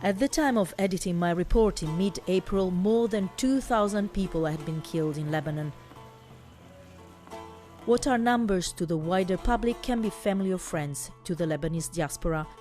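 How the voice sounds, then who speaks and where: A woman's voice: fairly low pitch, moderate pace, warm tone. A woman reporter reading scripted narration for a news report. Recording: music bed behind voice. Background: music.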